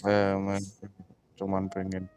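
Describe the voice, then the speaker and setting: A woman's voice: a bass voice, monotone, measured pace. A woman with an Indonesian accent giving casual commentary as she streams a game.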